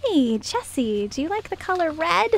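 A woman's voice: extremely high pitch, sing-song, normal speed, slight background noise, pitched above the performer's own voice, exaggerated voice. A woman voicing a kind lady charmed by a child in an amateur radio skit, sweet and indulgent. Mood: kindly.

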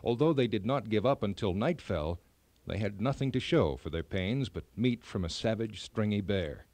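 A man narrating a scripted children's story as a storyteller, with expressive, clearly phrased reading. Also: fairly low pitch, normal speed, no echo.

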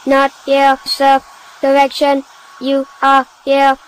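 High, flat, officious little robot voiced by a woman. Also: slow pace, slight background noise, poor audio. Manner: formal, clipped, monotone correcting. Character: small literal-minded, officious household robot.

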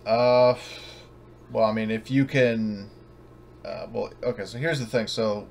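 A man casually explaining a tabletop game scenario as game master, in a halting, rambling way. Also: lowish voice, vocal fry, a little mellow, slight background noise. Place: online voice call.

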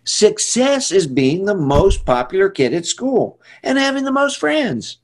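A man voicing an eager, boastful young animal pupil in a storybook, loud and insistent. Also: high voice, sing-song, unhurried, full character voice. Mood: confident.

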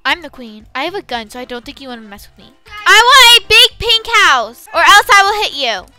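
Piercingly bright, very high bratty young woman. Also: sing-song, a little fast, soft background music. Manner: blunt, demanding, bratty. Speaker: gaming video co-host and player. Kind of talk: playful bratty roleplay chat.

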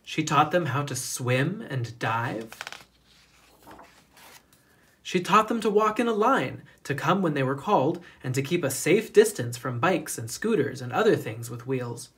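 A storybook reader narrating a children's picture book in a deliberate, expressive storytelling manner. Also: medium pitch.

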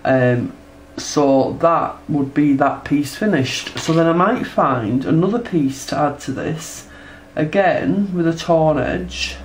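A woman with a stuffed-up nose talks through a hands-on art demonstration at a table. Her delivery is chatty and unhurried, tossing in little tag questions as she sketches. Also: low-pitched, a little mellow, a little hiss or hum. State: congested from a cold. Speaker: textile artist demonstrating her process. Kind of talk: casual tutorial narration while drawing. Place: indoors at a table.

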